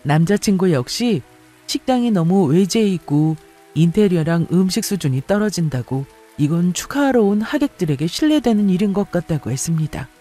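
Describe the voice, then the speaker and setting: A man's voice: sits high, animated, fairly quick, warm tone, soft background music. A man reading a scripted first-person story as a dramatic radio-style narrator.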